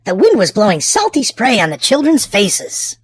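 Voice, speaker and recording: A woman hosting and narrating a children's storybook aloud, with theatrical, drawn-out emphasis for small children. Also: medium pitch, wildly swooping pitch, breathy edge. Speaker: children's storybook reader and channel host. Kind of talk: expressive read-aloud narration for young children.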